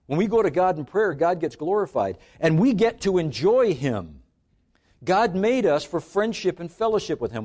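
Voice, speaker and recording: A middle-aged man born in Scotland and raised in Canada, preaching a sermon earnestly, slowing down on key phrases for emphasis. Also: on the high side, darkish tone.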